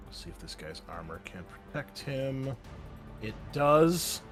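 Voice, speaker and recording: A man's voice: medium pitch, big pitch swings, a little slow, music in the background. A young man narrating combat as game master, loose and chatty, with many ums and uhs. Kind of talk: narrating a tabletop game over voice chat.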